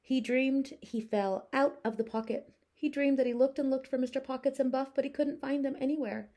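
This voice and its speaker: A woman teacher narrating a children's storybook aloud with expressive, deliberate phrasing. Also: high voice.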